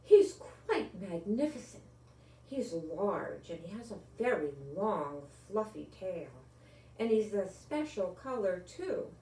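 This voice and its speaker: A woman's voice: medium pitch, very animated, takes it slowly, mellow. An older woman voicing a big, vain, dramatic lady in a children's storybook, with gushing emphasis. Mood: gushing pride. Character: big, dramatic, vain lady customer doting on her cat. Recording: echoey room, distant microphone.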